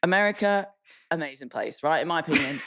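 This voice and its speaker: An English woman with a notably low voice co-hosts a podcast in easy, casual chat. She is blunt and self-mocking, with a loose tongue that lets swear words slip. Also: expressive, quick pace, fairly bright tone. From England. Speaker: podcast co-host.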